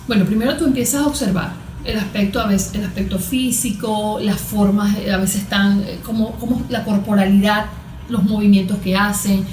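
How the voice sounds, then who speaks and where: A Venezuelan woman explaining as an expert guest in conversation, halting and repeating words, often posing rhetorical questions. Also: medium pitch, darkish tone. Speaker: cognitive therapist, interview guest. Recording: echoey room with background noise.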